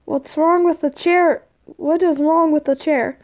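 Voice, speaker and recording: Woman playing an exasperated male guardian, slow-paced. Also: sits high, fairly even intonation, darkish tone. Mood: stern. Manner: scolding, weary, bargaining. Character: exasperated, teasing, busy male guardian of a boy.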